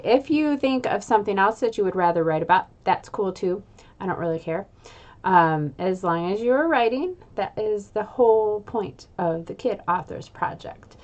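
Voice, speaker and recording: A woman's voice: medium pitch, lo-fi sound. Upbeat, casual woman reading aloud. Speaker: children's book author. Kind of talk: casual chat and read-aloud.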